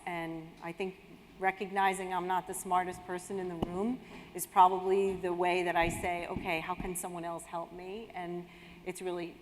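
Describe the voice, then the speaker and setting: Female panelist, slightly low pitch, conversational, live hall recording with long reverb and faint noise. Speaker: panelist, business executive. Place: indoor conference hall on stage.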